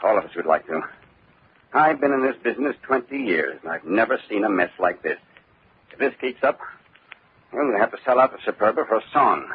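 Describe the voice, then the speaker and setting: Actor as worried, deep-voiced company boss, old radio. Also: male voice, lively intonation, fairly quick, slight background noise, lightly acted. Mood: gloomy. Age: middle-aged. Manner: anxious, resigned, hesitant. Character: worried middle-aged window cleaning company boss, glum, harried. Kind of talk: old-time radio drama acting. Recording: tinny old radio recording, phone-like band.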